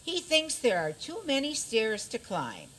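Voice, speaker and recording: A woman's voice: fairly high pitch, wildly swooping pitch, measured pace, fairly bright tone, slight background noise, full character voice. A woman playing an aggrieved fairy-tale maiden, pleading dramatically in a comic radio play. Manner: plaintive, dramatic. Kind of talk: comic community radio theater.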